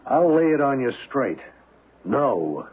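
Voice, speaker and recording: An actor playing a cynical, blunt embassy official in an old-time radio drama, gravelly, sardonic and slangy. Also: male voice, mid-range voice, sing-song, slow, full character voice. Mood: sardonic, weary. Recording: tinny band-limited old radio broadcast.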